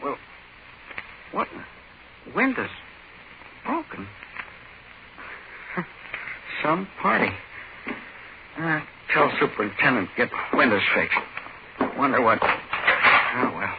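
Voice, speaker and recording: A man playing an excitable, irritable, hard-drinking office worker in a 1940s radio drama, agitated and given to muttering. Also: medium pitch, slow pace, long pauses. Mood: groggy, muttering. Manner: agitated, hesitant, muttering to himself. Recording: noisy, muffled 1940s radio broadcast.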